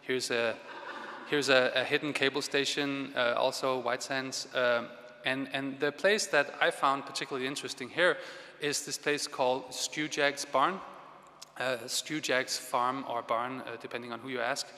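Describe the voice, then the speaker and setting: A man in his thirties giving a conference talk on stage, halting, with many fillers and restarts. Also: mid-range voice, fairly even intonation, pushed and bright. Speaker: conference speaker. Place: large hall, on stage. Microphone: stage microphone. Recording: reverberant hall sound.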